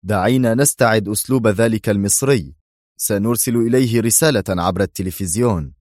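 An actor playing a hot-tempered crime boss in an audio drama, speaking sharply and commandingly. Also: male voice, lowish voice, fairly quick, darkish tone, no echo, studio quality, lightly acted. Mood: excited.

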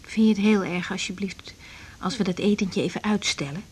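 A woman acting in a 1980s Dutch radio drama as a young doctor, halting, polite but candid, with a Netherlands Dutch accent. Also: mid-range voice, darkish tone, slight background noise, lightly acted. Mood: pleading, firm.

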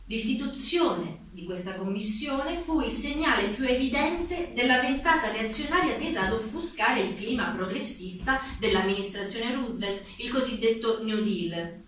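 A woman's voice: fairly high pitch, lively intonation, normal speed, a bit bright. A woman narrates from a distance in a heavily reverberant room with faint noise underneath. Her delivery is formal and declarative, a scripted historical account within a theatre piece. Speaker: narrator in a stage play.

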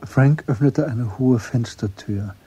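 A man narrating a German literary radio drama in a measured, understated way. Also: mid-range voice, mellow, breathy edge, rough recording.

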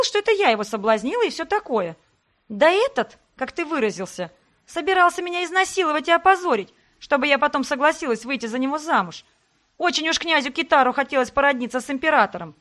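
A woman voicing a hot-tempered, sharp-tongued young heroine who shouts in indignant exasperation. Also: on the high side.

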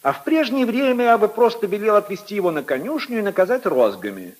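An actor playing a haughty, indignant aristocratic prosecutor in a pompous, emphatic 1950s radio drama style. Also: male voice, sits high, sing-song, fast talker. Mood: imperious. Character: haughty, indignant aristocratic assistant prosecutor, brother-in-law of a prince. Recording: 1950s archive radio recording.

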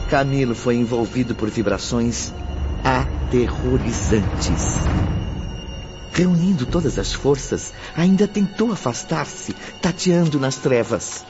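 A man narrating a radio drama in a measured, solemn tone at a mid pitch. Loud music plays under his voice. The recording sounds dull.

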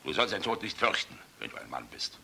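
A man's voice: a bass voice, very animated, a little fast, gravelly, slight background noise, exaggerated voice. An actor in a German radio play voicing an old, world-weary, passionate general, blustering and fatherly in a rhetorical manner. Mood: grave, scornful. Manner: blustering, rhetorical, swinging from outbursts to hushed musing. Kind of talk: German radio drama acting.

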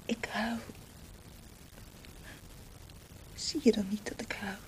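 An actress playing a scolding yet tender wife in a Dutch radio drama, chiding and fussing, often in breathy half-whispers. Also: female voice, medium pitch, flat delivery, normal speed, a crowd in the background, natural voice, low-quality recording. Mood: sad, tender.

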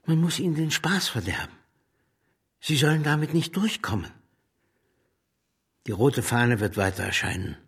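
An actor playing a defiant, resolute communist leader with a husky voice in a radio drama. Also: male voice, mid-range voice, expressive, normal speed, long pauses, a bit bright, full character voice. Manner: declarative, resolute. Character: defiant, unbowed male communist revolutionary leader. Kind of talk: German radio drama acting.

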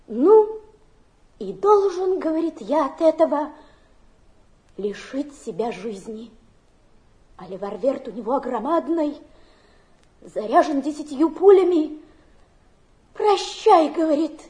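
Stage role: a tearful young streetwalker lost in romance, very high voice, slow theatrical delivery. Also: female voice, warm tone. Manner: drawn-out, melodramatic, emotional delivery. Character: overwrought young fallen woman, dreamy and tearful, telling romantic fantasies. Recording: archive stage drama recording.

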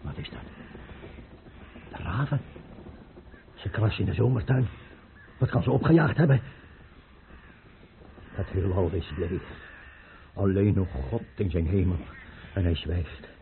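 An actor playing a terrified, paranoid and defiant tsar in a radio play, with anguished, theatrical delivery in broken phrases. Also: male voice, deep voice, unhurried, creaky, long pauses. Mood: haunted, fearful. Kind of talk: Dutch radio play acting. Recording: noisy, clicking, narrow-band old radio recording.

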